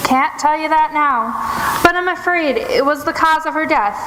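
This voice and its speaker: A woman playing a shrewd, commanding female detective in an old time radio mystery, with deliberate, theatrical delivery. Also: high-pitched, close to an everyday voice. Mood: measured, persuasive. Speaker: stage radio-play actor. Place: theater stage before live broadcast recording. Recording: echoey live theater hall, noisy.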